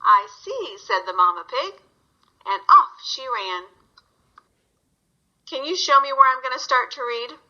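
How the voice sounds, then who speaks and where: A woman's voice: high-pitched, expressive, unhurried, long pauses, sharp tone, lo-fi sound. A woman reading a story aloud to young children, guiding them with simple questions.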